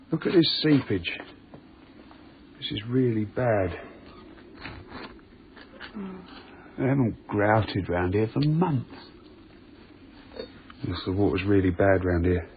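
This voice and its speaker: An actor playing a British railway tunnel night worker in a radio drama, talking in a matey, musing way. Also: male voice, on the low side, lively intonation, unhurried, pauses often. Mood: uneasy fascination. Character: chatty, anecdote-loving British railway tunnel night worker, uneasily fascinated. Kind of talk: naturalistic radio drama acting. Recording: lo-fi phone-like radio recording, clearly noisy.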